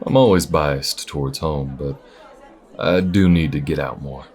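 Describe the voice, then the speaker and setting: Man acting as a very deep-voiced folksy space bounty hunter. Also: a little mellow, a faint crowd. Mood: relaxed, sincere. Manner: folksy, unhurried, reassuring. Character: folksy, loyal male space bounty hunter, steady and protective. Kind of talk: intimate audio roleplay acting.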